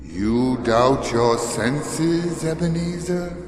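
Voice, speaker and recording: An actor in a radio play playing the mournful, reproachful ghost of a dead businessman, with drawn-out, wailing intonation. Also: a little high, expressive, a little hiss or hum. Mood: solemn, challenging. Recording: long echoing reverb with music behind.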